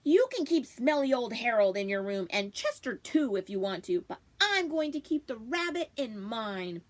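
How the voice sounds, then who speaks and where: A woman's voice: fairly high pitch, big pitch swings, moderate pace. A woman voicing a bossy, sulky boy, the older brother in a storybook, with a scornful, complaining manner.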